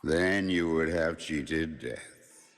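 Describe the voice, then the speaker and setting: Game narrator: Death, grave and ancient, telling a dark fable very slowly, extremely deep, drenched in long reverb. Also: male voice, heavily acted. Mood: grave, ominous. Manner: solemn, deliberate storytelling. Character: Death, grave ancient spirit recounting whom it took. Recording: long cavernous reverb, music behind.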